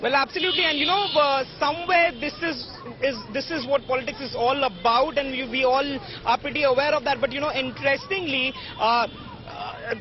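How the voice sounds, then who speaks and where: A woman news reporter giving an unscripted live report in a halting way, full of fillers. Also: high-pitched, crowd noise. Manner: halting, filler-heavy delivery. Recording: dull, lo-fi live link.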